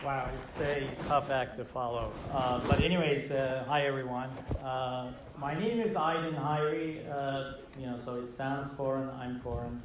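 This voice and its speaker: A middle-aged man from Turkey with a Turkish accent, telling a personal story on stage, halting with many fillers and restarts. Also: mid-range voice, roomy sound, very noisy. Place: stage in a hall with a live audience.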